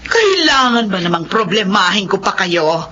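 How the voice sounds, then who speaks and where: A woman's voice: mid-range voice, very animated, moderate pace, close to an everyday voice. A woman playing a selfish, scornful middle-aged mother in a Filipino radio drama, snappish and theatrically dismissive. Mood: angry, dismissive. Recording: dull lo-fi radio drama audio, faint noise.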